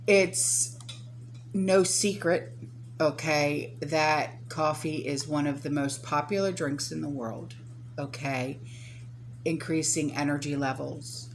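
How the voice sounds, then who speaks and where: A woman hosting a prepping channel, chatting casually to viewers and often checking in with "okay?" and "right?". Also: a bass voice. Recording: steady hum behind the voice.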